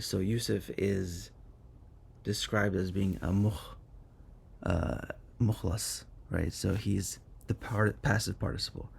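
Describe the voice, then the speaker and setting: A man's voice: sits low, gravelly, darkish tone, slight background noise. A man whose first language is Arabic, teaching an informal lesson with many fillers and self-corrections.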